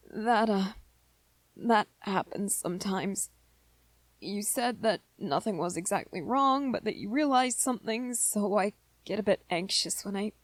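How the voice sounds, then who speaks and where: Woman playing young male vampire boyfriend, ASMR roleplay, soft-spoken, anxious, hesitant, unhurried pace, dry intimate sound. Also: mid-range voice, dry recording, natural voice. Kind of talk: ASMR roleplay, scripted one-sided dialogue to listener.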